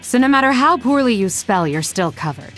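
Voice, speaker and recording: Sardonic female elf assassin, game character, teasing and theatrical, very expressive pitch, music underneath. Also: a little fast. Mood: playful, cocky. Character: sly, sardonic young-looking female elf rogue assassin. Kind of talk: video game character voice lines.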